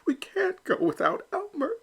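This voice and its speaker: A man voicing a worried, tearful mother duck in a storybook read-aloud, high and pleading. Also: huge pitch swings, normal speed, fairly bright tone, exaggerated voice. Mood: anguished.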